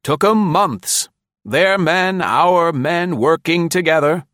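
A man voicing a huge, fat male colonel with a high, neighing voice. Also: takes it slowly, a bit bright, dry recording, clean studio sound. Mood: curt.

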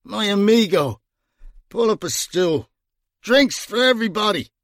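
A man's voice: sits high, animated, a bit bright, no echo, clean studio sound, voice pushed up, heavily acted. A man voicing a very drunk, bitter man who slurs his words and talks sarcastically. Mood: drunken. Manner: slurred, sarcastic, drawn-out. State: drunk, slurring.

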